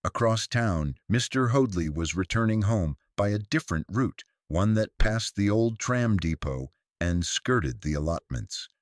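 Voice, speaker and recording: A man reading scripted mystery fiction as narrator, in a measured, dry and understated way. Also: on the low side, lively intonation, no room sound. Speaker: narrator of a detective story.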